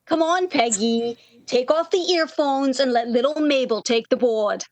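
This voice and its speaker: A woman's voice: high-pitched, measured pace, darkish tone, dry recording. A woman playing a brassy, motherly and worldly-wise night switchboard operator in 1930s radio style, slangy and teasing with endearments. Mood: breezy teasing.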